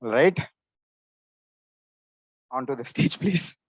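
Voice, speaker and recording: A man's voice: mid-range voice, animated, slow, no echo. A young man hosting a student club meeting, casually welcoming guests onto the stage with friendly encouragement. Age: young adult. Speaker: meeting host.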